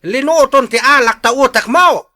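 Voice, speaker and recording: A man's voice: very high voice, moderate pace, bright, forceful tone, full character voice. An actor with a Filipino accent playing an exasperated older father who gives blunt, scolding advice in a radio drama.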